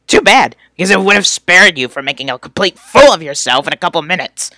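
Performer acting out young male troll, webcomic character, whiny sarcasm, scornful, animated pitch, sharp tone, dry close sound. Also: quick pace, dry recording. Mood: exasperated. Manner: exasperated, scornful, emphatic. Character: irritable young male troll, sarcastic insult-slinging whiner. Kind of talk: reading fan voice lines from a webcomic.

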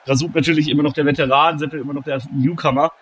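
A man with a cold, commentating a video game wrestling tournament, shouting at the big moments and calmer between them. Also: rapid-fire, dull audio, a little hiss or hum. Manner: shouts and gets high-pitched at big moments, calmer when tallying scores. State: has a cold, slightly hoarse. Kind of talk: excited play-by-play commentary.

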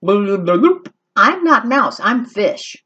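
A woman reading aloud, voicing a fish who speaks slowly, drawing the words out. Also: mid-range voice, big pitch swings, fairly bright tone, husky, lo-fi sound, pitched above the performer's own voice, full character voice. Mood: drawling. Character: slow, drawling fish.

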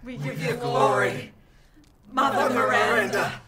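Old devout village woman, slow solemn chant, echoing over a gathered crowd. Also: fairly high pitch, fairly even intonation. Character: devout elderly village woman leading a prayer. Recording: roomy distant voice over crowd.

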